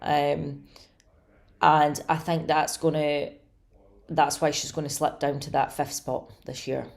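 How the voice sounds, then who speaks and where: A woman with a slightly hoarse, raspy voice, chatting casually and eagerly to camera about her contest predictions. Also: low voice, darkish tone. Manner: chatty, enthusiastic, many fillers. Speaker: bodybuilding commentator.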